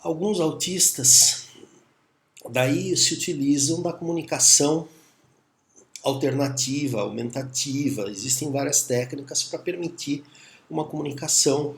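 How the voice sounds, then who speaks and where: A man from Brazil with a Brazilian Portuguese accent, giving a calm, musing video lecture as a teacher, often pausing and adding little tag questions. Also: a little high.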